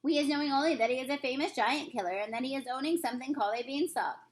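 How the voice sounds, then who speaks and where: A woman reading a storybook as a gentle, knowing male giant who explains things in quaint broken grammar. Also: sits high, very fast, close to an everyday voice. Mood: hushed, knowing. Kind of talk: storybook character voice in a read-aloud.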